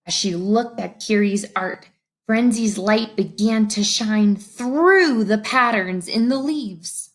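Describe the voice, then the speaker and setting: A woman reading a children's story aloud in expressive narration. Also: medium pitch, takes it slowly. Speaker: storybook reader.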